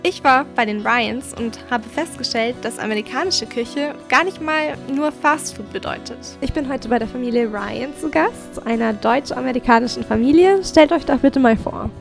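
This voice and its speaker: A woman hosting a podcast, reading a scripted introduction clearly and evenly. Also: high-pitched, normal speed, few pauses, music in the background. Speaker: podcast host.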